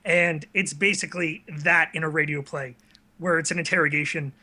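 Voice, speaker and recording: A man's voice: a little high, fairly even intonation, fairly quick, bright, forceful tone. A young man chatting casually as a guest in an interview. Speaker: guest, video creator. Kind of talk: casual chat.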